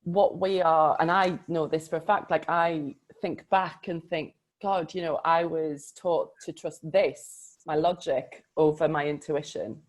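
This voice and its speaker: A woman's voice: sits low, fairly even intonation, unhurried, hardly any pauses, low-quality recording. A woman coach in a casual conversation about a book, speaking reflectively and with emphasis, often laughing.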